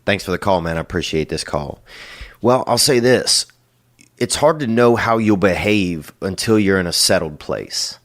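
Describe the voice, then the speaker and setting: A man's voice: low-pitched, animated, normal speed, fairly bright tone. A middle-aged man hosting a call-in show, giving rambling, crude advice in a loose drawl, peppered with "you know" and "boy.". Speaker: podcast host giving advice.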